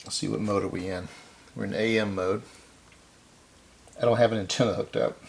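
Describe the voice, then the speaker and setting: Low, creaky-voiced man narrating a repair. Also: steady tone, moderate pace. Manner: explanatory, thinking aloud while working. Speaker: repair video host. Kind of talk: casual hands-on repair narration.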